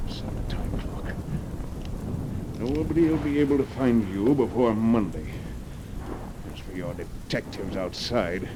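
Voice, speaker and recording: An actor playing an arrogant, scheming tycoon and killer in an old radio drama, domineering and theatrical. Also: male voice, on the low side. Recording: noisy old radio recording, rain and thunder.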